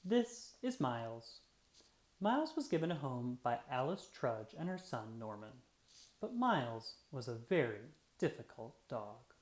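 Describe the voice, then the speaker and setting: A children's read-aloud narrator with a mid-range voice that rises and falls in a lively way. The phrasing is deliberate and expressive, made for young listeners. The room adds noticeable echo. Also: medium pitch. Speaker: storyteller reading aloud. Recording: roomy, echoey sound.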